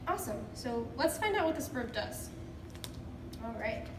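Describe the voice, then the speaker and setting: A woman's voice: sits high, sing-song, moderate pace. A woman teacher leading an interactive picture-book read-aloud for children, encouraging and prompting with questions. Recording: echoey room, distant mic, background noise.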